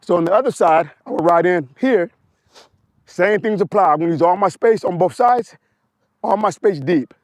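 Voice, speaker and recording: A man with a hoarse voice, a motorcycle instructor coaching a slow-speed riding exercise step by step, repeating short cues, recorded outdoors on a wireless mic. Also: high voice, animated, a little mellow, no room sound.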